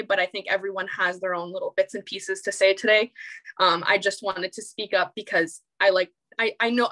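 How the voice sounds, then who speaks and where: A woman's voice: medium pitch, bright, forceful tone, low-quality recording. A young woman student with a Canadian accent speaking out emotionally and emphatically at an online public meeting over a video call. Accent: Canadian English. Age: young adult. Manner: emotional, emphatic, repeats and restarts words. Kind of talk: impassioned public meeting speech.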